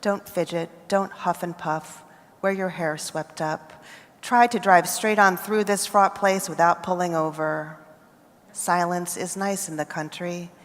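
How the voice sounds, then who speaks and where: Woman, American accent, poetry reading, slow deliberate phrasing, reverberant hall. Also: fairly low pitch, steady tone. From United States. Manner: measured, deliberate phrasing with pauses between lines. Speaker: poet.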